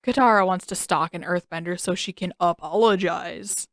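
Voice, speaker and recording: A woman's voice: on the low side, normal speed, no echo, clean studio sound, lightly acted. A woman voicing a sarcastic, exasperated teenage boy in an audiobook story, with emphatic delivery. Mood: exasperated sarcasm. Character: sarcastic teenage boy, exasperated, nervous big-brother type.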